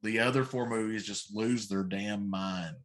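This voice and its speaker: A man's voice: lowish voice, steady tone, a little slow. A middle-aged man co-hosting a casual talk show, giving emphatic, grumbling opinions and repeating himself for effect. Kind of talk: casual opinionated chat about pop culture.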